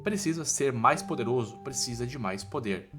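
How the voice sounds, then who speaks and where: A man's voice: medium pitch, expressive, normal speed. A man with a Brazilian accent narrating a story recap from a script in an informal style, with music behind him.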